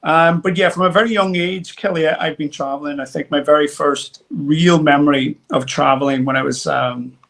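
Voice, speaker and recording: A man's voice: a little high, steady tone, moderate pace, creaky, few pauses, fairly bright tone. A man in his forties from Derry with a Northern Irish accent, a guest telling his story in a rambling way, full of fillers and restarts. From Derry, Northern Ireland. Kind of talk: conversational interview.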